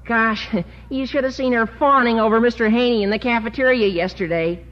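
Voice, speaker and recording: An actor playing an eager, gossipy teenage schoolboy in a 1950s radio sitcom, chatty and hesitant. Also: male voice, very high voice, brisk. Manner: chatty, hesitant, says uh a lot. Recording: muffled old radio broadcast.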